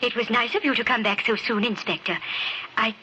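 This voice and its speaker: An actress playing a genteel, nervous and evasive young widow, fluttery and hesitant, in old radio drama style. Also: female voice, a little high, fairly quick, highs cut off, heavy background noise, lightly acted. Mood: polite. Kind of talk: 1950s radio drama acting.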